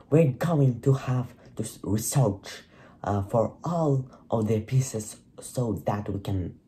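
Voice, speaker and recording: A man voicing an excitable middle-aged father, an archaeologist in a game, with a strange put-on accent. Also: mid-range voice, a little hiss or hum, close to an everyday voice. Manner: odd put-on foreign-sounding accent.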